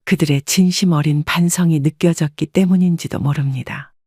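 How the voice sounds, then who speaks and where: A woman narrating a scripted first-person story in a calm, reflective way. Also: low-pitched, normal speed, slightly rough, dry recording, studio quality.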